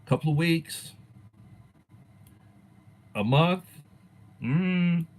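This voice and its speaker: A man in his sixties, a teacher chatting casually on his own livestream and answering viewers, rambling and letting his sentences fade softly at the ends. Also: fairly high pitch, extremely slow. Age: 60s. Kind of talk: casual livestream chat reading viewer comments.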